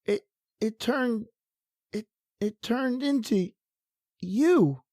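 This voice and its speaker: A woman playing a timid, uneducated male lab assistant who stammers and pleads in rough slangy speech. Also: fairly high pitch, animated, extremely slow, warm tone, no room sound, studio quality, full character voice. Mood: scared. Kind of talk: old-time radio horror acting.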